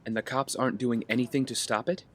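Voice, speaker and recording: A man's voice: medium pitch, fairly even intonation, fast talker, a little hiss or hum, close to an everyday voice. A man playing an earnest, determined wealthy young man in an audio drama, with a probing way of talking. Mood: concerned.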